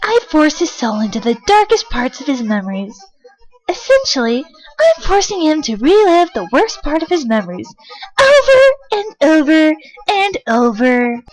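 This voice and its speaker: Woman voicing a high, taunting demon child. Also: very animated, moderate pace, poor audio. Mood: menacing, gloating. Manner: taunting, sinister. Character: cruel possessing demon child, mocking and menacing. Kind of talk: amateur comic dub voice acting.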